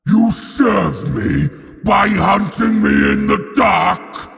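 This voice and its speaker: Audio drama villain: colossal demon warlord, slow gravelly snarl, long reverberant hall, bloodthirsty and grandiose. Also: male voice, high voice, animated, a bit bright, exaggerated voice. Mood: enraged. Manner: bellowing, drawn-out grandiose declarations. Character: huge horned winged demon primarch, wrathful bloodthirsty father. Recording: long hall reverb, faint music behind.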